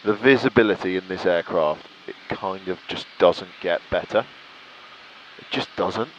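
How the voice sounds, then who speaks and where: A man's voice: deep voice, normal speed, highs cut off. A British man chatting casually to viewers while flying, with an enthusiastic, reflective way of talking. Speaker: pilot vlogger. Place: cockpit of a small light aircraft in flight. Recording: clear engine noise in a cockpit.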